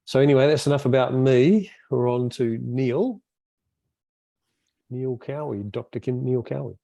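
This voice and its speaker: A man introducing himself on a video call, relaxed and rambling with many fillers, as the group's convenor. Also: medium pitch, unhurried, vocal fry, dry recording.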